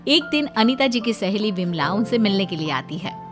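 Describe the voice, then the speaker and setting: Female storyteller, scripted cartoon narration, animated pitch, background music. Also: moderate pace.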